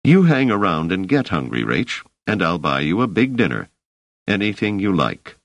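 A man doing a calm, reasonable scholar. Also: sits low, normal speed, no room sound, exaggerated voice. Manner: calm, persuasive.

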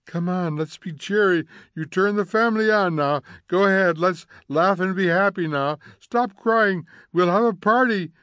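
A man doing a high coaxing anxious wife. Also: level tone, measured pace, vocal fry, hardly any pauses, darkish tone, no echo. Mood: pleading. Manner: pleading, coaxing.

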